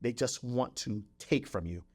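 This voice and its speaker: A man's voice: mid-range voice, measured pace, a little mellow. Emphatic man talking on a podcast. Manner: emphatic, repeats phrases and often checks in with "right?" and "okay?". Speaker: podcast host. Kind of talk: conversational motivational talk on a podcast.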